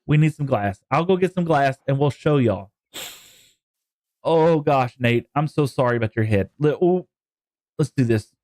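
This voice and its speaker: A man's voice: mid-range voice, expressive, moderate pace, long pauses, a little mellow, no echo. A man with a Texan accent chatting to his viewers on a live gaming stream, rambling and joking as he plays. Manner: rambling, jokey, talks to chat.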